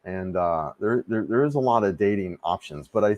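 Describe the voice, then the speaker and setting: Male host, 50s, lowish voice, casual rambling livestream chat, dry room. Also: lively intonation, normal speed, darkish tone, no echo, highs cut off. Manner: rambling, restarts often, laid-back and friendly. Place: home room.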